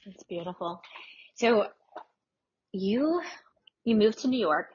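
A woman hosting a podcast interview in a casual, chatty way, with a husky voice. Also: mid-range voice, lively intonation, takes it slowly, a little mellow, slight background noise. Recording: dull, muffled audio.

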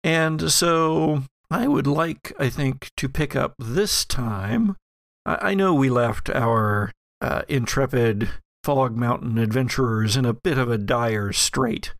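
A man's voice: medium pitch, takes it slowly, dry recording. A man speaking as host and game master of an actual-play podcast. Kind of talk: reading and casual chat on an actual-play podcast.

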